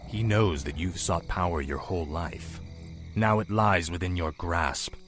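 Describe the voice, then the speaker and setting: A sly human emissary in a game cinematic. He is low-pitched and smooth, insinuating each word. A loud score runs underneath. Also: unhurried, over music. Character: cryptic human male agent of a dark master. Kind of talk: video game cinematic acting.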